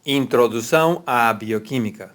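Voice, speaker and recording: A man moderating an online biochemistry quiz on a video call, speaking in a measured way with frequent fillers. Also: medium pitch, fairly bright tone.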